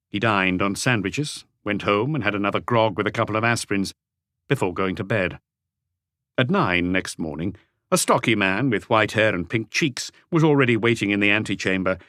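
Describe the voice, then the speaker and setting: Expressive, lower-pitched male audiobook narrator. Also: no echo, studio quality. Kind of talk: scripted story narration.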